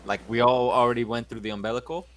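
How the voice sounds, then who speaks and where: A man playing in a tabletop game session, blunt and profane, asking quick rule questions. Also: mid-range voice, flat delivery, fairly bright tone. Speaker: player. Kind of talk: casual tabletop game chat.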